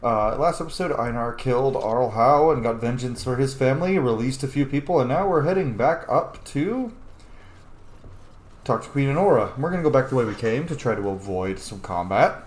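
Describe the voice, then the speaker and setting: Animated man doing casual game commentary. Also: medium pitch, normal speed. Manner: informal, chatty, swears casually. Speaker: host of a game roleplay playthrough. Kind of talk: casual let's-play commentary. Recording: roomy echo, faint background noise.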